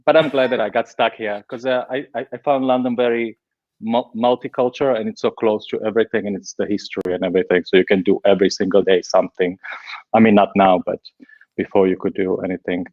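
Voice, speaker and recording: A man's voice: lowish voice, fairly even intonation, fast, darkish tone. Man in his forties, lo-fi sound. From Slovakia. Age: 40s. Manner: chatty, laughs easily, many fillers and restarts. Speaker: guest. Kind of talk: casual interview talk.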